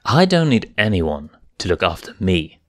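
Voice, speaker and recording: A man's voice: low voice, sing-song, normal speed, fairly bright tone. A man voicing a confident, sarcastic young male lion cub in a fanfic reading.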